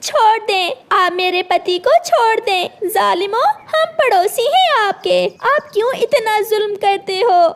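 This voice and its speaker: A woman voicing a gentle, worried mother bird in a cartoon, earnest and plaintive. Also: very high-pitched, animated, measured pace, few pauses, exaggerated voice. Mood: pleading. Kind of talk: Hindi cartoon dialogue.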